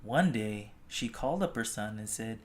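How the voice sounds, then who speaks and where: A storybook reader telling a children's tale expressively, with gentle, drawn-out phrasing. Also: medium pitch, lively intonation.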